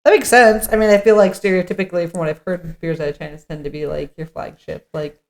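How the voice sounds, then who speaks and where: A woman's voice: lowish voice, steady tone, quick pace, creaky. A woman co-hosting a podcast, thinking aloud in an informal, musing way and reading out articles. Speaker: podcast co-host. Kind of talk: casual chat with read-out articles.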